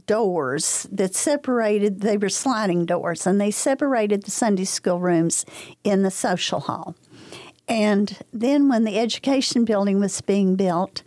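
An older woman from Kentucky recalling church memories in an interview, pausing often with many ums and uhs. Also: medium pitch, dry recording. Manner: hesitant, many ums, soft-spoken. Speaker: interview guest. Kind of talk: casual reminiscing interview.

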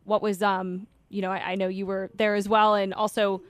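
A woman co-hosting a podcast, speaking calmly and in a measured way before passing the conversation to her co-host. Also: mid-range voice, no room sound. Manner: calm, measured, hands the turn to a co-host. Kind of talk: casual chat.

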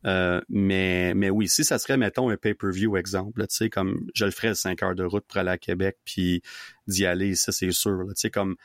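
A man with a Québécois French accent hosting a podcast, chatting in a relaxed, friendly way with his guest. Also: sits low, moderate pace, no echo, studio quality. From Quebec. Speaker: podcast host. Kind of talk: casual interview chat.

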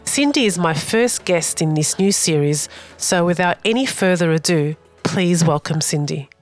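A woman's voice: lowish voice, expressive, moderate pace, soft background music. A woman, hosting a podcast and asking interview questions in a friendly way. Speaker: podcast host.

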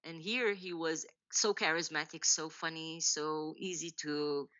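A woman in her forties from Israel, a novelist telling her story in a podcast interview, thoughtful and halting with many restarts and laughs. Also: low-pitched, steady tone, sharp tone, highs cut off. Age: 40s. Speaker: novelist guest.

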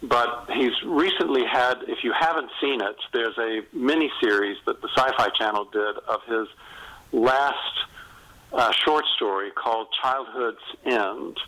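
A man of middle age or beyond speaks in a bright, forward voice at a medium pitch. He chats like an interviewed author, enthusiastic and gently self-mocking, pausing and regrouping within his thoughts. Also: rough recording. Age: middle-aged or older. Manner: enthusiastic, self-deprecating, halting mid-sentence. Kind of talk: casual conversational interview, anecdotal.

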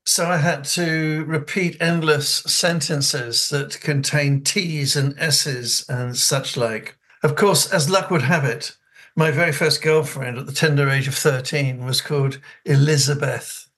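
An older radio host from New Zealand speaks in a crisp, elocution-trained English accent. He chats freely and laughs at himself as he goes. He reads listener letters aloud in a slightly high voice. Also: fairly even intonation, a little slow, fairly bright tone. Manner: chatty, laughs often, self-deprecating.

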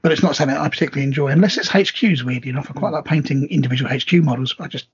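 A man co-hosting a podcast, talking in a relaxed, hesitant way with many ums and a very raspy voice. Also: fairly high pitch, very fast. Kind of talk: casual hobby chat. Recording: dull lo-fi audio.

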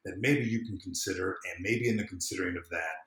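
Male interview guest, low pitch, echoey room sound, earnest conversational delivery that circles back for emphasis. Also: quick pace, fairly bright tone. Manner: earnest, emphatic, thinks aloud with repetitions. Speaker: podcast guest, civic empowerment consultant.

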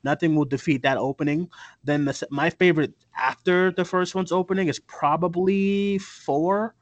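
Enthusiastic man with a higher voice, close sound. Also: steady tone, normal speed, no room sound. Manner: enthusiastic, emphatic, repeats phrases. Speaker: horror podcast host. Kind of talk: casual film chat.

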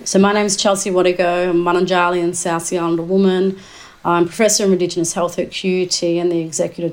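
Low, even-toned Australian woman. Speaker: panel guest, professor. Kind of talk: conversational self-introduction.

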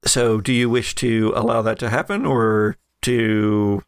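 Gravelly male game master, dry close sound, descriptive narration with fillers. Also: fairly low pitch, steady tone, measured pace, dry recording.

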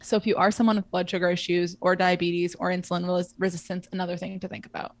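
A young woman in her twenties co-hosting a casual podcast, her voice sitting a little low. She talks in a chatty, rambling way, full of "like" and quick restarts. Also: flat delivery, no room sound. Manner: chatty, filler-heavy, frequent "like". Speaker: podcast co-host. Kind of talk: casual chat.

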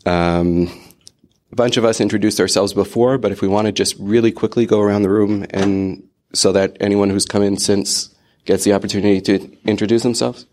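A man's voice: sits low, a little mellow. A man leading a support group meeting in a meeting room, speaking into a handheld microphone with frequent hesitant fillers. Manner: hesitant, many filler ums. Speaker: meeting leader. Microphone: handheld microphone passed around.

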